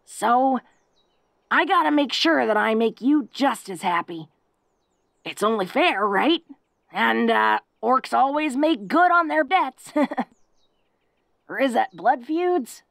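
Orc girlfriend voiced by a woman, tough yet shy and self-deprecating, intimate romantic audio drama; unhurried delivery, dry close sound. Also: fairly high pitch, expressive, vocal fry, no room sound, heavily acted. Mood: earnest, tender. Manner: awkward, halting, self-deprecating, with soft chuckles. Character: tough, big, bashful, sweetly devoted orc girlfriend.

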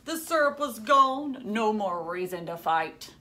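A woman in her thirties giving an animated, dramatic picture-book read-aloud with teacherly asides in a room at home. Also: medium pitch, a little slow.

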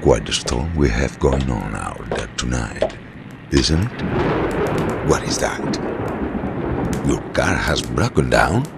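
An actor playing a sinister, courteous storyteller host in an old-time radio horror play, with a theatrical, ingratiating manner. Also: male voice, very deep voice, animated, slow, creaky, long pauses, exaggerated voice. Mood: eerily welcoming. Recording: loud storm noise behind voice. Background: thunderstorm.

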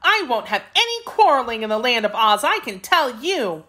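A plucky little girl from a storybook, played by a woman. Her voice is high and swoops with feeling. She is emphatic and bossy, with an affectionate heart. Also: big pitch swings, moderate pace, pushed and bright. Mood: stern, scolding. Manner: emphatic, scolding.

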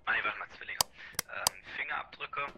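A man's voice: fairly low pitch, fairly quick, heavy background noise. A man speaking matter-of-factly over voice chat, thinking aloud as a teammate. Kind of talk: voice chat during a game.

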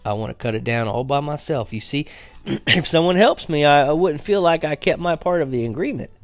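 A man's voice: on the high side, animated, brisk, dry recording, soft background music, voice pushed up. A man reading aloud and voicing a determined young farm boy who pleads earnestly. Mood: pleading. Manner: earnest, pleading, raises voice when insistent.

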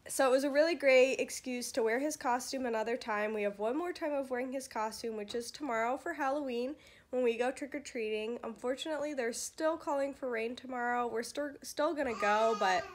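A woman's voice: high-pitched, fairly quick. A young adult American woman chatting casually to a phone camera held at arm's length, as a vlogging mother. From United States. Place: living room at home.

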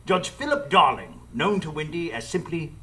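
Man acting a lively, gentlemanly storyteller. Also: a little high. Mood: composed. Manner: measured, literary storytelling. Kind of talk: scripted radio drama narration.

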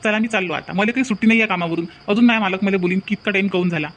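Man playing a very fast-talking honest young villager. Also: high-pitched, a bit bright. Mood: earnest. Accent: Vidarbha Marathi. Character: honest, humble young working man returning lost gold. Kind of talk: comedy cartoon dialogue.

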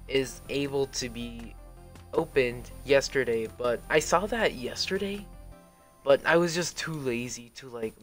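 A young man casually commenting on his own game livestream, rambling with lots of fillers and frequent swearing, over faint game music. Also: medium pitch. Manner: rambling, mumbly, swears freely, many fillers. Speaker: streamer.

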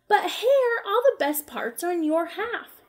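Woman doing a sleepy male bear, storybook, grumbling, indignant, high. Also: expressive, unhurried. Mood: aggrieved.

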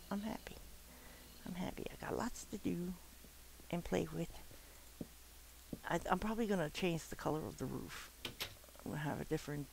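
Nasal, gravelly gamer rambling along. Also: medium pitch, a little hiss or hum, rough recording. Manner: rambling, chatty, casual swearing, frequent fillers. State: stuffed-up from swimming. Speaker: gamer recording a let's play. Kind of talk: casual gameplay commentary.

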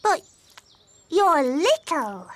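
A woman's voice: sits high, big pitch swings, extremely slow, a little hiss or hum, heavily acted. A woman voicing an eager, bossy little princess of about four in a children's cartoon, with a blunt, emphatic childlike manner. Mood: let down. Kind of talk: animated children's cartoon acting.

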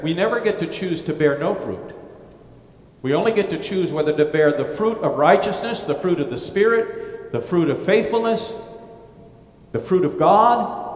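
A middle-aged male pastor giving a gospel reading and sermon in a brick church, chatty and informal, with asides and restarts. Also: a little high. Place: brick-walled church sanctuary. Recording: echoey church with long reverb.

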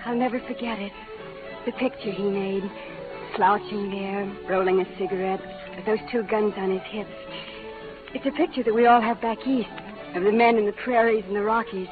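An actress as a young Eastern bride in an old-time radio western. She is earnest, sheltered and stubborn, with a curious streak. The sound is thin and narrow, with music playing clearly behind her. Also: medium pitch, steady tone, normal speed, natural voice. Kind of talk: 1940s-50s radio western acting.

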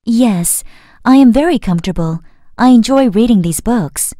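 Bright, curious young girl for a storybook, voiced by a woman narrator, very lively, dry sound. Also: on the high side, normal speed, dry recording, pitched above the performer's own voice, natural voice. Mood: pleased.

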